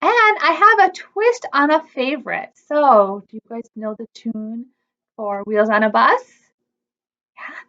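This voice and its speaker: A woman's voice: a little high, unhurried, fairly bright tone, muffled recording. A woman hosting a preschool storytime, speaking expressively in simple child-directed phrases. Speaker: children's librarian, storytime host. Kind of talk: animated read-aloud storytime for preschoolers.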